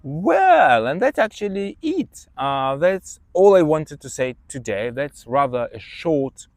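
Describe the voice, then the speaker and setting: A man's voice: a little high, sing-song, takes it slowly, no room sound. A man with a Russian accent, a native Russian speaker, hosting a podcast and chatting through study tips in an emphatic, conversational way. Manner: chatty, emphatic, checks in with "yeah?". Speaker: podcast host, English teacher. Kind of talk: casual advice monologue.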